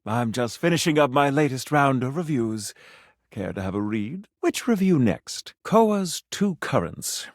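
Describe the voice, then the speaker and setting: A man's voice: medium pitch, sing-song, unhurried, dry recording, studio quality. A man voicing a pompous, flirtatious gourmet food critic for a video game, with florid, formal, dramatic delivery. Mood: delighted, grandiose. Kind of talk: theatrical video game voice acting.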